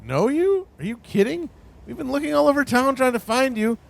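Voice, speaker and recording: A man acts as an earnest, concerned friend in a comic radio play. His voice is very high and gravelly, with big swings in pitch. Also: animated, brisk, exaggerated voice. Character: concerned, apologetic male friend searching for a lost pal. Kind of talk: comedic radio play acting.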